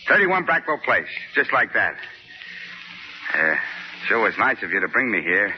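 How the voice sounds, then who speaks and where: An actor playing a naive, chatty down-and-out drifter with folksy, ungrammatical talk, in 1940s radio style. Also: male voice, fairly low pitch, sing-song, normal speed. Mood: chatty, pleased. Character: chatty, naive down-and-out male drifter, eager to please. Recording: dull, noisy vintage 1940s radio recording.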